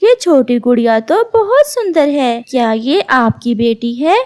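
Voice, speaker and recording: Woman playing deceitful female bird, cartoon, high pitch, unhurried, brazen, insistent. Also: lively intonation, a little mellow. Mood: sweetly coaxing. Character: sly, deceitful female bird who snatches a child.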